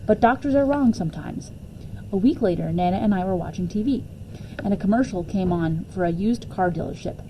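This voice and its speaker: A woman's voice: mid-range voice, fairly quick, dark tone, slight background noise, rough recording. A woman reading a children's novel aloud expressively in the first person, as a storyteller. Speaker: teacher reading a book aloud.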